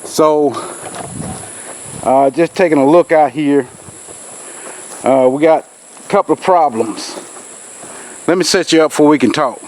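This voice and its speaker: A man's voice: on the high side, measured pace, long pauses, darkish tone, a little hiss or hum, rough recording. A man, nasal from a cold, rambling in a folksy way as he talks to a camera on a tripod, out in a rural field. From Georgia, US. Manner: rambling, folksy, with many fillers. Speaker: outdoors channel host. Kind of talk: casual vlog talk to camera.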